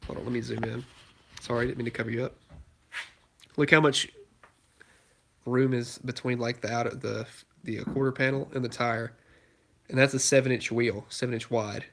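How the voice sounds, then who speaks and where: A man's voice: mid-range voice, a bit bright, a little hiss or hum. Young guy, congested, rambling live-stream host filming on his phone in a garage. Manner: rambling, lots of fillers and restarts. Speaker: car YouTuber hosting a live stream. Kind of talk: casual live stream, answering chat questions. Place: cluttered home garage with a project car. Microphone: phone held while filming.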